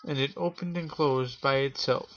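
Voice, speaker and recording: A man hosting a Halloween story show, telling a spooky tale in a theatrical showman's manner with drawn-out pauses. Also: medium pitch, steady tone, fairly bright tone. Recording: dull lo-fi audio with clear noise.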